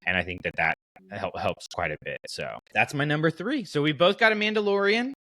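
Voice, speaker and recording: A man's voice: on the high side, very animated, fairly quick, bright, forceful tone, dry recording. A man hosting a casual fan podcast, talking through his choices in a conversational way with frequent asides. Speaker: podcast host.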